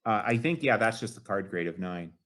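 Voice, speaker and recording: A man's voice: fairly low pitch, animated, a little fast, fairly bright tone. A man in his late fifties, a guest on an interview show, talking enthusiastically and at length with lots of "you know". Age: 50s. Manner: enthusiastic, rambling, many fillers like "you know". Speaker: guest collector. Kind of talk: casual interview chat.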